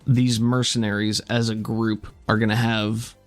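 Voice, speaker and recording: A man's voice: fairly low pitch, little pitch movement, measured pace, soft background music. A man narrating and explaining rules as game master of a tabletop roleplaying game.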